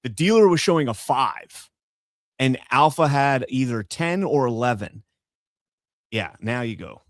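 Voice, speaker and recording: A man's voice: mid-range voice, measured pace, no room sound, studio quality. A man hosting a podcast in casual chat, telling stories with lots of energy and singing a few lines of songs now and then. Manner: animated storyteller, sings snatches of songs. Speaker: podcast host.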